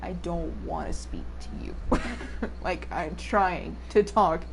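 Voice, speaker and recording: A young woman with a fairly deep voice for a woman, chatting casually and joking while streaming a game, swearing now and then. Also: slow, noisy background. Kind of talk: casual gameplay chat.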